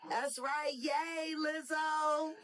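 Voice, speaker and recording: A woman's voice: high-pitched, fairly even intonation, drawn-out words, crowd noise. A woman who says she has a husband and children, giving sassy, mocking commentary with lots of emphasis. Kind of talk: animated commentary.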